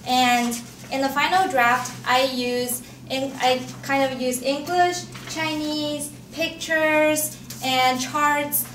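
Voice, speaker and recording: A woman's voice: high voice, steady tone, slow, a bit bright. A young woman giving an informal academic presentation as a student, often restarting and repeating her phrases. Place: indoor room at an academic forum. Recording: echoey, noisy room, distant mic.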